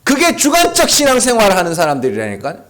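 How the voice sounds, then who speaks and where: A man's voice: high-pitched, fast talker. A male pastor preaching a sermon at a Friday prayer meeting, speaking conversationally and emphatically, often firing rhetorical questions at the congregation. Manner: emphatic and animated. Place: church sanctuary.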